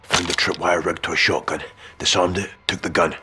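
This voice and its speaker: An actor playing a gritty, cheeky special forces sergeant in a video game, with a Scottish accent and a wry, bantering delivery. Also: male voice, low voice, fairly even intonation, brisk, background noise, natural voice. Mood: tense, gritty.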